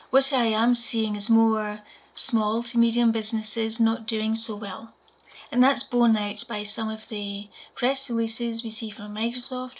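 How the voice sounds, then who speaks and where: A woman in her forties with a Scottish accent and a quiet voice, giving a measured conference talk from home over a webcam. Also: fairly high pitch. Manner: measured, explanatory presenting. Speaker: conference speaker. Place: home room, indoors. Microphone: webcam video call. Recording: dull lo-fi webcam audio.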